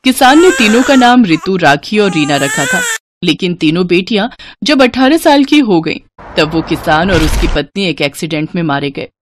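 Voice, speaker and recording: A woman narrating animated moral stories from a script, as a storyteller. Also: on the low side, moderate pace, noisy background.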